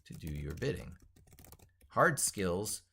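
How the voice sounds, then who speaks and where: A man's voice: deep voice, very animated, slow pace, vocal fry, bright, forceful tone, a little hiss or hum. A middle-aged man reading his own essay aloud as he works on it, in a theatrical, wordy, emphatic way. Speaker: tech educator and video host.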